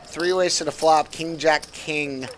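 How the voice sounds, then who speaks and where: A man's voice: a little high, steady tone, unhurried, a little hiss or hum. An American man giving casual live poker commentary as a guest, easygoing and chatty.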